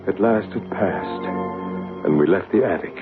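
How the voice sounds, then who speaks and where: A man playing a gentle, assured male psychic medium in a 1970s radio drama, explaining patiently. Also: sits low, a little slow, over music. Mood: narrating. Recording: narrow radio broadcast with faint hiss.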